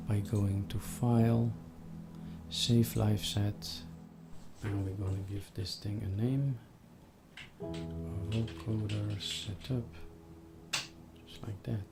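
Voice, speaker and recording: A man hosting a step-by-step software tutorial in a deliberate, instructional manner. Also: lowish voice. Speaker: tutorial host.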